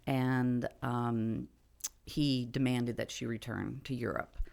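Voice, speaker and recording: Very low, gravelly middle-aged woman. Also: slow pace. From Belgium. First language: French. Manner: relaxed, hesitant with many fillers. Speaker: guest. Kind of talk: interview, telling her life story. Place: studio.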